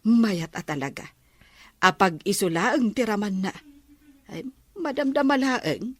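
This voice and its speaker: A woman's voice: medium pitch, expressive, unhurried, a bit bright, no room sound. A woman with an Ilocano accent playing a doting but easily exasperated housewife in a radio comedy drama. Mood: contented, humming.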